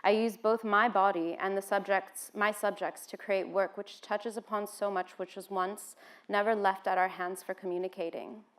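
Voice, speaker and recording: A woman's voice: medium pitch, fairly even intonation, moderate pace, a bit bright. An American woman from Washington, D.C., giving an informal seated talk to an audience in a conversational way, with frequent "um" fillers. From United States (Washington, D.C.). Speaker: speaker at a public talk.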